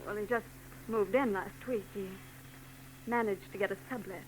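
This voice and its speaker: An actress playing a troubled young married woman in a 1940s radio drama, soft and hesitant. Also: mid-range voice, fairly even intonation, moderate pace, lightly acted, low-quality recording. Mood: guarded. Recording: old radio broadcast with hum.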